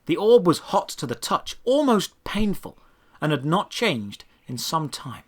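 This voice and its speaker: A man narrating a first-person fantasy adventure story in a scripted, dramatic storytelling manner, with deliberate phrasing. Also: a little high, sing-song.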